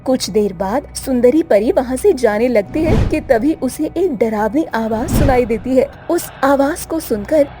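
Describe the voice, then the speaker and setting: A woman's voice: high-pitched, lively intonation, a little slow, hardly any pauses. A woman storyteller reading a scripted tale in narration style. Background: background music.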